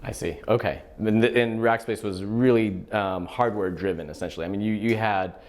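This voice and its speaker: A man's voice: lowish voice, fairly even intonation, fairly quick. A man hosting an interview show, asking polite questions in a hesitant way with repeated words and filler sounds. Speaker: host.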